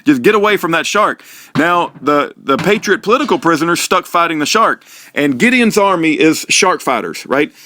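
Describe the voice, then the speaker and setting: A man's voice: a little high, expressive, moderate pace, bright, forceful tone. A middle-aged American man speaking as an informal host, rambling in a folksy, preacherly way with repeated phrases. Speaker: host, prisoner-support activist. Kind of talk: informal, rambling monologue to viewers.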